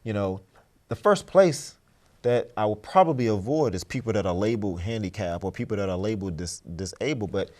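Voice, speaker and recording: A man's voice: on the low side, expressive, a little fast, darkish tone. A man speaking as an interview guest, explaining his views in an emphatic, earnest, motivational way. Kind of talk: conversational, motivational talk.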